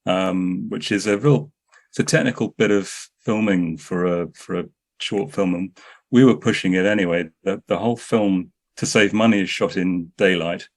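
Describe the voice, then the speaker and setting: Low-voiced man, halting storyteller. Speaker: filmmaker interviewed as a guest. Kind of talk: anecdotal podcast interview.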